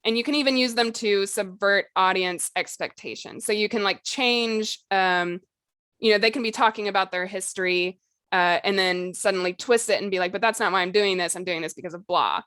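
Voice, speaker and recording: A woman's voice: medium pitch, a little fast, fairly bright tone, clear, resonant tone, no echo. Woman podcast host chatting through tips, kinda hedgy, lots of likes, really dry recording. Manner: informal, hedging with frequent "like" and "you know". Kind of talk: casual instructional chat about fiction writing.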